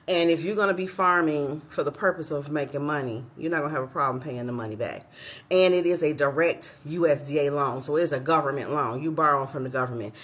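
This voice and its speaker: A woman's voice: low voice, fast, fairly bright tone. A woman in her fifties from Chicago, hosting a casual livestream chat from a hotel room and addressing viewers as y'all. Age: 50s. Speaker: livestream host.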